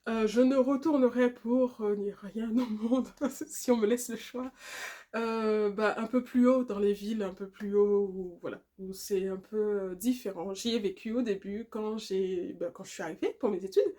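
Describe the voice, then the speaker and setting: A woman in her late thirties from Guadeloupe, chatting casually to the camera in a rambling, talkative way, often laughing and filling pauses. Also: medium pitch, steady tone, mellow. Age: 30s. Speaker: vlogger.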